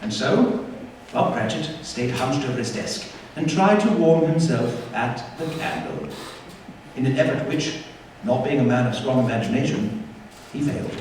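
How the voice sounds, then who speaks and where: A man's voice: mid-range voice, lively intonation, normal speed, a little mellow. A man narrating a scripted tale on a theatre stage in a theatrical, emphatic storytelling manner. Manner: dramatic, emphatic delivery. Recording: echoey hall, distant mic, faint noise.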